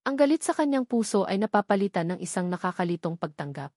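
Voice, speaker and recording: A woman's voice: medium pitch, very animated, quick pace, darkish tone, no room sound. Filipino woman narrating, dry close recording. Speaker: audiobook narrator. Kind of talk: scripted dramatic story narration.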